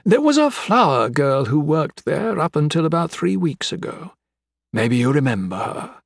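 A man voicing an older gentleman villain in an audiobook, with florid, mock-courteous, taunting speech. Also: a little high, very animated, normal speed, creaky, breathy edge, dry recording, studio quality, pitched above the performer's own voice, exaggerated voice. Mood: wistful, sorrowful. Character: menacing, theatrical old gentleman crime boss, mocking and sentimental. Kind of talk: dramatic audiobook character acting.

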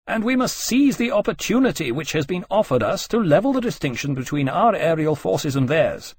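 Audiobook role: smooth, dismissive male admiral, acted by a narrator, lifted pitch, husky, formal and condescending. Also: very animated, a little fast, heavily acted. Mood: insistent.

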